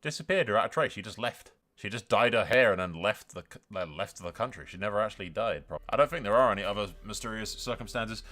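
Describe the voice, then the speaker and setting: A man's voice: fairly low pitch, expressive, quick pace, hardly any pauses, fairly bright tone. A man giving casual, sweary gaming commentary as host, with a wry, joking and informal manner. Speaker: video game streamer, host.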